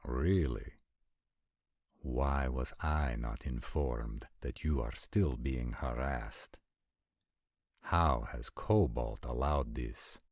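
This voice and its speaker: Male actor as protective ancient supernatural warrior for roleplay audio: extremely low voice, formal measured diction, dark tone. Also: dry recording, clean studio sound, full character voice. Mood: concerned, probing. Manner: formal, measured, stilted diction with deliberate pauses. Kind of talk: scripted roleplay audio acting.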